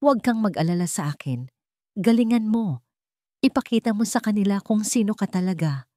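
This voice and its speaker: A woman voicing a frail, loving old mother with a hoarse, gentle, encouraging delivery. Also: mid-range voice, animated, moderate pace, no echo, studio quality, voice pushed up, natural voice. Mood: gentle encouragement.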